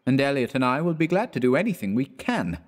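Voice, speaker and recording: Man putting on a high-pitched breezy young male student. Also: sing-song, fast, close to an everyday voice. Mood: cheerful. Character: breezy young male art student, flippant, easily flustered. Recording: echoey hall-like reverb.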